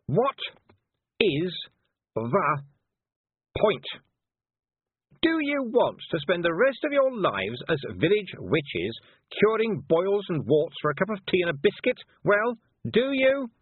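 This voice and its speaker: A man's voice: high voice, huge pitch swings, moderate pace, slightly rough, no room sound, pitched above the performer's own voice, heavily acted. A man voicing a bossy, haughty, impatient teenage girl witch in a storybook reading, scolding and condescending. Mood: sharp, demanding. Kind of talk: audiobook character voice. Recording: muffled narrow-band phone-like sound.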